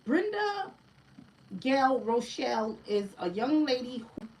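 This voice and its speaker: A woman's voice: sits high, big pitch swings, takes it slowly, darkish tone, far from the mic, rough recording. Female card reader, conversational and informal, sitting back from the mic with a light noise floor. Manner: chatty, keeps asking "right?" and calls listeners "family". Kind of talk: casual livestream card reading.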